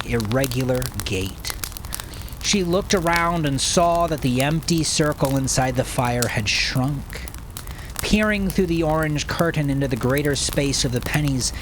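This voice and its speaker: A man narrating dark fiction in an intense, theatrical way, often sinking to a hushed murmur. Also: mid-range voice, noisy background. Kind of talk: dramatic scripted fiction narration. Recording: loud music under the voice. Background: loud music.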